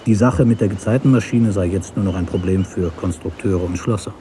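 A man narrating a literary story for radio in a measured, deliberate way. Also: sits low, mellow, slight background noise.

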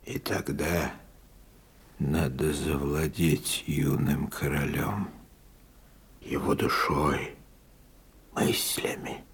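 An actor playing a dying old religious leader in a radio drama, solemn and conspiratorial in a hoarse, halting whisper. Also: male voice, very deep voice, steady tone, full character voice. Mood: conspiratorial, grave. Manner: slow, solemn, halting delivery.

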